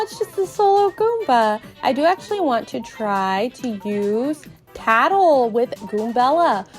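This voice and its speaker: A woman with a high voice narrates a video game like a playful storyteller. Her delivery is cutesy and expressive, with lively swings in pitch. Music plays softly behind her. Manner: cutesy, expressive, storyteller-like, uses sound words. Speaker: podcast host doing a dramatic read-along of a video game.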